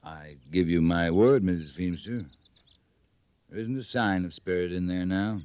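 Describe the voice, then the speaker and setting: A wry cavalry captain in a classic radio Western. His fairly low voice is courteous and understated, coaxing people along with dry politeness. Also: a little slow, darkish tone, smooth. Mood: persuasive, courteous. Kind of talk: old-time radio Western drama acting.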